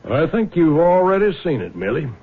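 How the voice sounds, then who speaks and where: A man's voice: on the high side, big pitch swings, fairly quick. An American actor playing a crafty, stubborn middle-aged father in a radio drama, speaking in a dry, indignant manner. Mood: cool, accusing. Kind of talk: 1970s radio drama acting. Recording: lo-fi muffled vintage radio recording.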